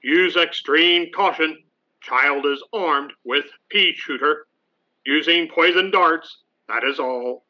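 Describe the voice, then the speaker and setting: An actor playing an official police dispatcher in a radio play, clipped and deadpan over a police radio. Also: male voice, on the high side, takes it slowly. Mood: stern, deadpan. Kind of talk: 1950s radio play acting. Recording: dull, noisy police radio broadcast.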